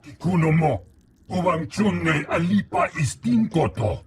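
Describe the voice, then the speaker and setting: Alien crime lord: slow, wildly swinging delivery, imperious, game character, noisy ambience. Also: male voice, on the high side, sing-song. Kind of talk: video game acting in an invented alien tongue.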